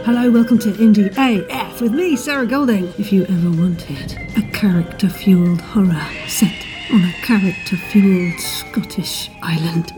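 A woman's voice: medium pitch, rough recording. Woman introducing a podcast over music. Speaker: podcast host.

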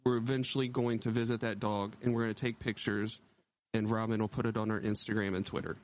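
Teasing, foul-mouthed podcast host. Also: male voice, fairly low pitch, fast talker. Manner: animated storyteller, teasing, heavy swearing. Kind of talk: casual chat.

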